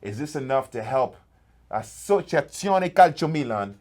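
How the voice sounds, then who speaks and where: A man's voice: mid-range voice, animated, measured pace, a little mellow. A man from the United States hosting a casual sports talk show, chatty and upbeat.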